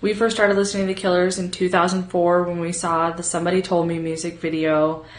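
A woman's voice: on the low side, flat delivery, brisk. A woman giving a casual testimonial as a fan in a voice message, recorded in an echoey room.

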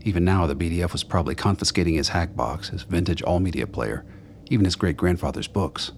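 Very deep, gravelly male narrator. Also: fast, a little hiss or hum. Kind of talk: scripted radio play narration.

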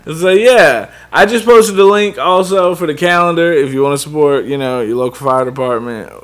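A man co-hosting a laid-back podcast. He plugs events and links quickly and in an upbeat way. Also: on the high side. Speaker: podcast co-host. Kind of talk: casual podcast chat.

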